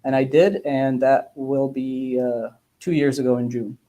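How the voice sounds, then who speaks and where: Guy in his 30s, soft dark tone, medium pitch, casual interview answers, measured with little pauses. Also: level tone. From Bosnia, grew up in Germany and the United States. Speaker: guest, dentist.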